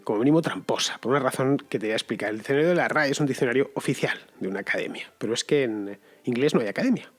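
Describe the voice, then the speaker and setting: Man hosting a listener-question podcast. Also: mid-range voice. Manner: relaxed and conversational, pauses with fillers. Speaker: podcast host answering listeners. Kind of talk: casual spoken commentary.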